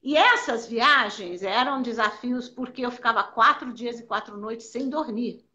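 An older Brazilian woman, a dance teacher, telling stories of her career in an animated way and repeating words for emphasis. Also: on the high side, a little slow. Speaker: belly dance teacher, interviewee. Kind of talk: casual interview answers.

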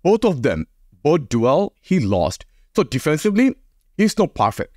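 A young man hosting a football fan channel, reacting in casual, excitable English with small grammar slips. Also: a little high, measured pace, no room sound, clean studio sound. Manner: excitable, fan talk in non-native English. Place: small room with teal backdrop.

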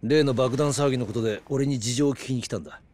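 A man's voice: medium pitch, fast, a little mellow, a little hiss or hum, lightly acted. Grave middle-aged detective played by a man. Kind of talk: dramatic video game cutscene acting.

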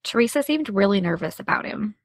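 A woman playing a determined, sardonic teenage podcaster in an audio drama, with a dry, brisk delivery. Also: medium pitch, expressive, no echo, close to an everyday voice. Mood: reassuring, confident. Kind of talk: naturalistic audio drama dialogue.

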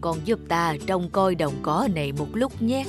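A woman voicing a gentle, wise old male tree spirit in a cartoon, speaking in a slow, measured, instructive way. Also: lowish voice, expressive, music in the background. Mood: calm, encouraging. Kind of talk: cartoon character acting.

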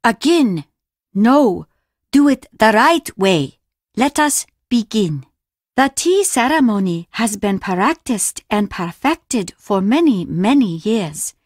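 An actress playing a strict, formal Japanese woman teacher in a video game, measured and precise in her speech. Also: on the high side, no room sound, studio quality. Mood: stern, exacting. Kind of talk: scripted game dialogue.

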